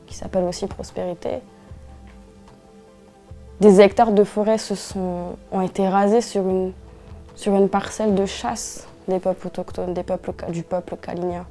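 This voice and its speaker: A woman's voice: medium pitch, vocal fry, mellow. Earnest young woman from French Guiana. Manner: earnest, measured, with frequent restarts and hesitations. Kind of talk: interview testimony.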